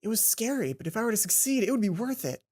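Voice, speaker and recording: A woman reading a visual novel game aloud as a let's play host, playful and expressive, often stumbling and rephrasing. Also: medium pitch, quick pace.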